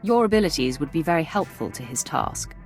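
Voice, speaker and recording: A woman's voice: deep voice, very animated, a little fast, darkish tone, faint music behind. An actress playing a composed, steely female Sith warrior in a video game, controlled, formal and confident. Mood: composed, assured. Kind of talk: video game dialogue acting.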